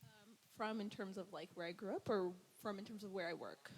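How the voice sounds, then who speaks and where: A woman's voice: mid-range voice, fairly quick, breathy edge. A young woman from Ethiopia asking a long question at a panel, casual with many "like"s and "right"s. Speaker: audience member asking a question.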